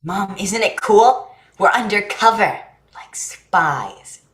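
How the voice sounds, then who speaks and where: A woman's voice: mid-range voice, expressive, takes it slowly, a bit bright. A woman as earnest young boy, echoey room. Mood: eager, proud. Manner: earnest, blunt, childlike. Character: earnest, eager young boy, trusting and frank. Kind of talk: staged play reading.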